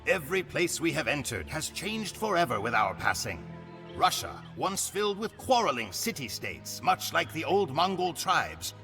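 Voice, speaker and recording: Over clear music, a proud Mongol warrior tells of his people's conquests. His delivery is solemn and theatrical, rising and falling dramatically in a slightly high male register. It is scripted storytelling for a video game campaign. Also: full character voice. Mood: reverent, solemn. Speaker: campaign narrator. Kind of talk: dramatic scripted cutscene narration. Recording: music behind the voice.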